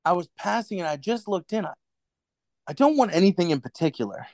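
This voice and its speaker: Man as a nervous rebel, animated delivery. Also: high-pitched, dry recording. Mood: curious, earnest. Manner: urgent, halting, earnest. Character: nervous, earnest rebel man living in a dystopia. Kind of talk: audio drama acting.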